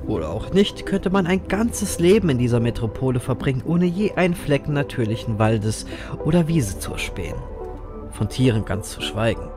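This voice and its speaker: A man's voice: medium pitch, close to an everyday voice. Casting: male actor as understated, laconic city reaper, narrator-hero of horror radio play, music underneath. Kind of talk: noir narration and audio drama dialogue.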